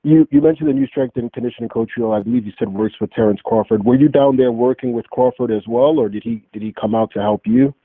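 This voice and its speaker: A man's voice: mid-range voice, sing-song, fast talker, vocal fry, hardly any pauses, breathy edge, no echo. A man asking polite, conversational questions as a reporter on a press call. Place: phone line on a teleconference. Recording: narrow muffled telephone conference line.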